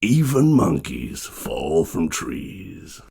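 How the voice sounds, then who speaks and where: Casting: ominous sage animatronic in a game, low gravelly voice, solemn, music underneath. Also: male voice, wildly swooping pitch, extremely slow, full character voice. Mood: solemn menace. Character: menacing, sage-like animal animatronic speaking in proverbs. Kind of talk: video game character lines.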